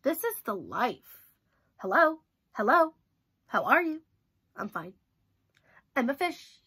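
A woman's voice: high-pitched, very animated, takes it slowly, pushed and bright, husky, full character voice. A woman voicing a stubborn, dramatic, whiny cartoon pigeon in a children's storybook read-aloud. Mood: gleeful. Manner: whiny, exaggerated, protesting.

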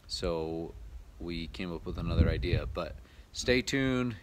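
Low-voiced American man, casual vlogger. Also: lively intonation, unhurried, noisy background. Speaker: DIY vlogger. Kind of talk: casual vlog narration to viewers.

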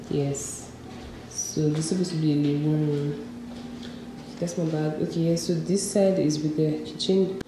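A young woman chats her way through an empty apartment. Her voice sits low. She is recorded on a handheld phone in bare rooms that ring with echo, with steady noise behind her. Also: recorded from a distance. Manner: chatty, talks while walking through rooms. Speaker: vlogger. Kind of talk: casual vlog narration. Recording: echoey bare room with background noise.

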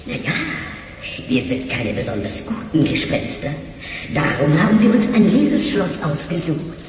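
An actor playing a centuries-old, hapless castle ghost with a drawn-out, plaintive wail in a children's audio play. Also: mid-range voice, big pitch swings. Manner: drawn-out, wailing. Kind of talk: German audio play for children, cartoon acting. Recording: cavernous long reverb, distant, faint noise.

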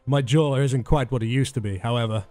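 A man's voice: medium pitch, fairly quick, a little mellow, no room sound, soft background music, close to an everyday voice. A man voicing a decrepit undead nobleman, a gallant lecher with a theatrical, courtly, flirtatious manner. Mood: suave.

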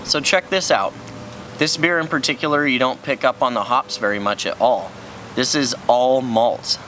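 A man's voice: medium pitch, normal speed, sharp tone, a little hiss or hum. A young man co-hosting a casual beer review on an outdoor brewery patio, giving frank, matter-of-fact opinions on each beer.

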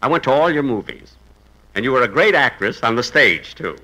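A man's voice: mid-range voice, expressive, fairly quick, pushed and bright, rough recording. A man playing an eager, struggling Hollywood writer in an earnest comic manner for 1950s radio comedy. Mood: earnest, curious.

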